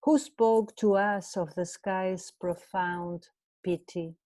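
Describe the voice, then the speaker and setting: A woman with an Argentine accent, reading a translated poem aloud in a measured, deliberate way. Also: medium pitch, steady tone, dark tone, no echo. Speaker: translation prize committee member.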